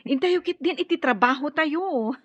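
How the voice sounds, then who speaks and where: An actress plays a gossipy office coworker in a radio drama with an Ilocano accent. Her fairly high, husky voice rises and falls with lively amusement, in slightly muffled sound. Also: unhurried, no echo. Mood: gossipy, amused.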